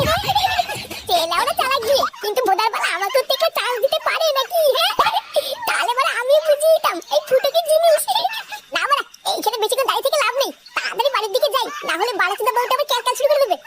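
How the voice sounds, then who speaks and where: A performer voicing a brash, foul-mouthed village troublemaker in a comedy cartoon, crude and swaggering, with loud noise behind. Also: very high voice, lively intonation, rapid-fire. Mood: boastful, grumbling.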